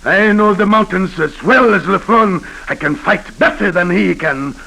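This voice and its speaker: An actor playing an imperious, impatient Haitian governor with a French accent in a 1940s radio drama. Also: male voice, sits high, a little slow, creaky, sharp tone, full character voice, poor audio. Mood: frustrated, fervent. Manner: commanding, impatient, emphatic. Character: imperious, superstitious Haitian governor, former rebel leader, fiery. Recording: vintage 1940s radio broadcast.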